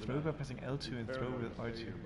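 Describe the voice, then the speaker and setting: A man's voice: mid-range voice, fairly even intonation, fast, a loud crowd. A man chatting casually while playing a video game, flustered and self-deprecating, swearing now and then. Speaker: student radio host playing a video game. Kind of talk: casual gameplay chat. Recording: loud game noise and gunfire behind.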